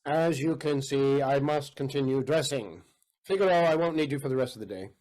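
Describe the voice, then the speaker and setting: A commanding aristocrat acted by a man speaks at a medium pitch. His manner is imperious and theatrical, as in an audio stage play. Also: a little fast, a little mellow, natural voice, poor audio. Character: Count Almaviva, lordly, commanding male aristocrat.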